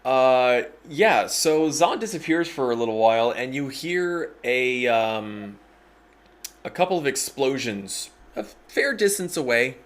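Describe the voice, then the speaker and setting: A man acting as game master, improvising narration haltingly and thinking as he goes. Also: mid-range voice, lively intonation.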